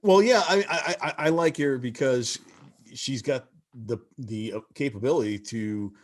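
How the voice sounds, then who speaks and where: Excited man on a panel call. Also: mid-range voice, a little slow. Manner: emphatic, leans on "you know" fillers. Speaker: convention panelist. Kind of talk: casual fan panel discussion. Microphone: video call.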